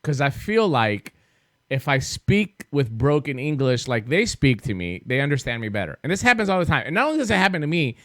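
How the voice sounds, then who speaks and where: A man's voice: medium pitch, big pitch swings, brisk, fairly bright tone, no room sound, studio quality. A man with a Greek accent, co-hosting a casual show and teasing his partner. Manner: teasing, explanatory. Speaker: co-host.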